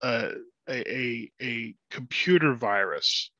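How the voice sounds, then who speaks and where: A man in his thirties explaining in casual podcast conversation, halting and repeating words, on a headset. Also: mid-range voice, lively intonation, sharp tone, dry recording. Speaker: podcast guest, audio drama creator. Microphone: headset on a video call. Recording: slightly dull video-call sound.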